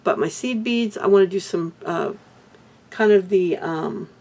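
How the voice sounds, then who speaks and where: An older woman from Texas, hoarse, chatting casually to camera in a rambling way about her crafts and life. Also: mid-range voice, a little slow, darkish tone. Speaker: beadwork vlogger.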